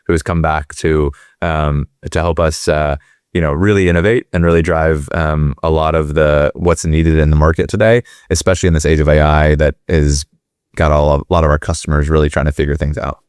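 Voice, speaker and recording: A middle-aged man with a deep voice, talking loosely in an interview, with lots of fillers and restarts. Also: flat delivery, a little fast, smooth, dry recording, studio quality. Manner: rambling, many fillers and restarts. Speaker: guest, company executive. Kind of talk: conversational interview.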